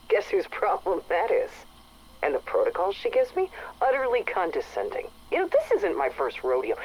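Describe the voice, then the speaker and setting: A sarcastic, fed-up station chief. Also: female voice, extremely low pitch, a little mellow, a little hiss or hum, poor audio. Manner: sarcastic, exasperated, mocking. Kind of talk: game audio log.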